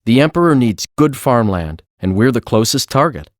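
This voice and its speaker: A man's voice: medium pitch, big pitch swings, normal speed, dry recording, clean studio sound, lightly acted. A man playing a serious, learned mage in a fantasy audiobook, explaining things in a matter-of-fact way. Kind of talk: full-cast audiobook acting.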